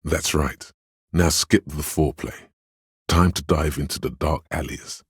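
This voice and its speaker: An actor playing the inner voice of authority in a video game, a proud, domineering man speaking with deliberate, imperious command. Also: rumbling low, fairly even intonation, husky, dry recording, studio quality, full character voice. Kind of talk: scripted video game voice acting.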